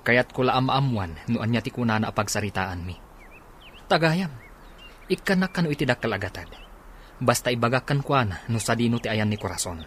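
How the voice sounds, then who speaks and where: A man's voice: mid-range voice, lively intonation, fairly quick, a bit bright, slight background noise, lightly acted. A man with a Filipino accent playing a politely evasive, loyal friend in a radio drama. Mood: explaining.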